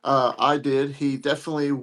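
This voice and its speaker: A man's voice: mid-range voice, flat delivery, fairly quick, fairly bright tone. A man speaking as a wrestler guest on a talk show, bantering casually with blunt trash talk aimed at rivals.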